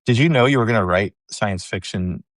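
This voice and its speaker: A man hosting a casual interview on a video call, asking relaxed, chatty questions. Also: on the low side, brisk, no echo. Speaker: host.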